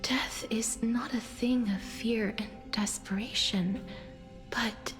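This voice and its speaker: Soft, breathy, slow-spoken solemn maiden. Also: female voice, on the high side, steady tone, soft background music. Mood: tender, grateful. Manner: formal, earnest, deliberate. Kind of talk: scripted fantasy game dialogue.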